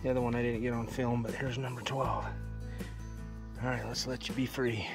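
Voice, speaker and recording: A middle-aged American man with a folksy, excitable manner, narrating his own backpacking and fishing trip outdoors by a mountain lake, recorded on a handheld camera. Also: mid-range voice, moderate pace, vocal fry, music in the background. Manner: folksy, excitable, says "heck yeah". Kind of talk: casual outdoor vlog narration.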